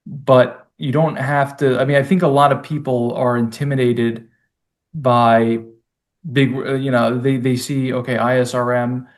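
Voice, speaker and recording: Forties man, security manager type, talks in halting restarts. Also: medium pitch, flat delivery. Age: 40s. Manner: halting, restarts often, repeats words. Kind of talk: casual conversation.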